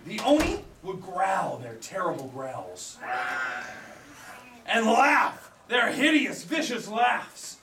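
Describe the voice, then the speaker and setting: A woman tells a children's story live with big swoops in her voice and theatrical, drawn-out phrasing. She is heard from a distance in an echoey room, performing for a young audience. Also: medium pitch, huge pitch swings. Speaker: storyteller for a children's puppet show. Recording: echoey room, far from mic, audience noise. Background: audience of a live show.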